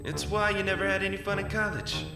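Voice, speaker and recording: An actor playing a confident, teasing experimenter in an audio drama. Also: male voice, high-pitched, fast, close to an everyday voice. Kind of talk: full-cast audio drama acting. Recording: echoey space, music behind.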